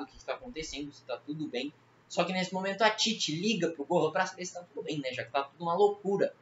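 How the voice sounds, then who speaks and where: A man's voice: fairly high pitch, a bit bright. A man with a Brazilian Portuguese accent telling a story as a casual, slangy recap. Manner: colloquial, slangy. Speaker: video creator recapping a fan horror series. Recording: roomy echo, muffled, faint noise.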